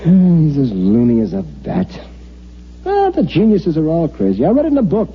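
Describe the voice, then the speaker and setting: An actor playing an excitable, reluctant male newspaper reporter with slangy, ungrammatical speech in an old radio drama. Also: fairly high pitch, moderate pace, husky, heavily acted. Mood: puzzled. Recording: muffled, noisy old-time radio recording.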